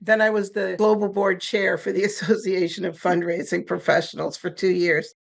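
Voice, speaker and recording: Woman, 60s, German as first language, lowish register, candid storyteller, interview guest. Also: moderate pace, creaky. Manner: candid, lively storyteller with a ready laugh. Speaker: guest, nonprofit founder. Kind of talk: podcast interview.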